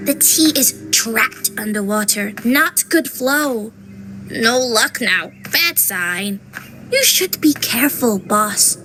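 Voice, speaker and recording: A woman playing a playful young Taoist fortune teller in a video game, with theatrical, teasing sales patter. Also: sits high. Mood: mock-ominous.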